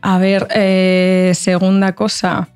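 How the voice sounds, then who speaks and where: Woman guest, 30s, middle pitch, rambling casual chat, close desk mic. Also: completely flat delivery, takes it slowly, darkish tone, clean tone, faint music behind. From Portugal. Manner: chatty, many fillers and restarts, laughs often. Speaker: podcast guest. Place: podcast studio with plants and a backdrop.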